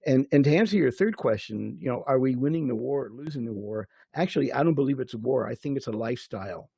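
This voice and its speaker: A man in his sixties, a security officer on a panel, speaking in a measured, reflective way. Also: mid-range voice, expressive, gravelly, warm tone, slightly rough, no echo. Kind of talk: panel discussion.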